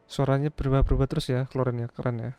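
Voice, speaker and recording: Mellow Indonesian man commenting on gameplay. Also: mid-range voice, little pitch movement, rapid-fire, clean tone. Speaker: gaming streamer. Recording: game music behind.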